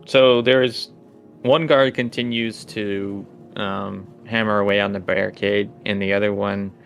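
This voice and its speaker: A man's voice: fairly low pitch, level tone, a little slow, vocal fry, soft background music. A man improvising narration as the game master of a tabletop role-playing game.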